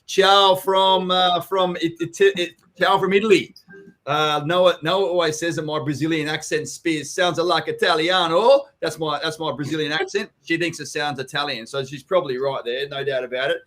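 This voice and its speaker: A man's voice: fairly high pitch, steady tone, moderate pace, fairly bright tone. An Australian man co-hosting a casual live online chat, talking in an informal, blokey way and swearing freely. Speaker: co-host of a property live Q&A, company general manager. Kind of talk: casual live chat, reading out viewer comments. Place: live online video stream.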